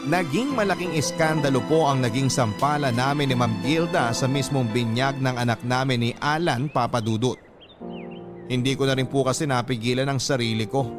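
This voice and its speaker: A man's voice: medium pitch, moderate pace. A man narrating a scripted letter as a radio host, with dramatic phrase-by-phrase delivery. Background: background music.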